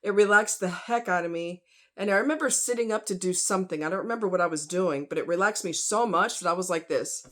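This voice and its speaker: A woman's voice: fairly low pitch, fast. A woman talking casually in a rambling opinion vlog, conversational and informal, with slang and rhetorical questions. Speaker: vlogger.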